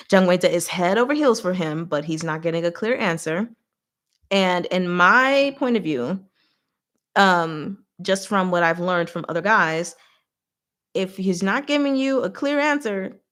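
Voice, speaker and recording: A woman hosting a live recap in a casual, chatty, expressive style, talking to her audience like a fellow fan. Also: on the low side. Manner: chatty, expressive, giggly, slangy. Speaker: host of a live book recap and discussion.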